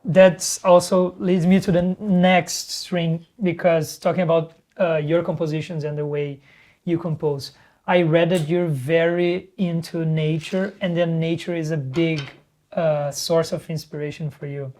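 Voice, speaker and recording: This host asks questions in a casual interview, pausing and searching for words as they go. The voice sits in a middle pitch range. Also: little pitch movement, darkish tone.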